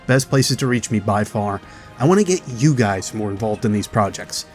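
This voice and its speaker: A man's voice: on the low side, lively intonation, normal speed. A man hosting a video, giving chatty, explanatory commentary as a voice-over about game lore. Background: music.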